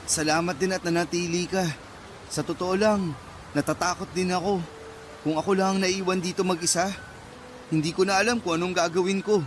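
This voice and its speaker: An actor playing a gentle, protective, self-doubting young man in a Filipino radio drama, earnest and reassuring. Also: sits high, fairly even intonation, a little fast, pauses often, a little hiss or hum, lightly acted. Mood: vulnerable, sincere.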